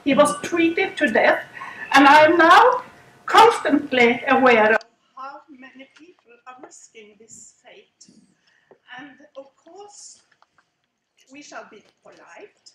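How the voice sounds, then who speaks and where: A woman speaks slowly and with conviction, her high voice carrying across a large room. She is heard from a distance, with plenty of room echo and background noise. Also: animated, a bit bright. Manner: earnest, grieving, defiant. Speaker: conference participant. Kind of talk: impassioned comment from the floor. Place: conference hall. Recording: echoey hall from far off, noisy.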